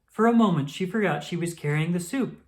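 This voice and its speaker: A woman reading a children's story aloud as scripted narration, in an expressive storytelling style. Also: lowish voice, fairly quick.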